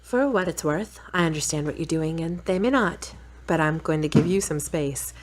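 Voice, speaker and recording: A woman's voice: low voice, animated, normal speed. A woman playing a frank, supportive girlfriend with a wry manner in an audio drama.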